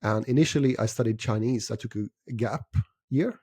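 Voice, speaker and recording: A middle-aged man from Sweden, answering interview questions in a measured way with frequent fillers and restarts. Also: mid-range voice, darkish tone, breathy edge, dry recording. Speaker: guest investor.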